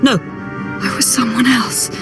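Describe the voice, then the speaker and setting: An actress playing a tormented young Sith woman in a video game, intense, halting and struggling for control of her mind. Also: on the high side, steady tone. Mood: anguished. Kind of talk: dramatic video game voice acting. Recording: music behind the voice.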